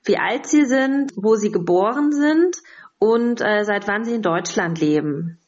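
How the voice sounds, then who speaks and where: A woman hosting a cooking interview podcast, reading a scripted intro and then asking slow questions with fillers. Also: mid-range voice, lively intonation, a little mellow, dull audio. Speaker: podcast host.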